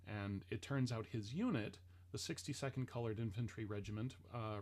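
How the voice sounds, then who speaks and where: A man talking casually and reflectively in a halting, explanatory way, as someone introducing a family history. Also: lowish voice, a little mellow. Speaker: speaker introducing an ancestor's speech. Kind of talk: casual explanatory talk to listeners.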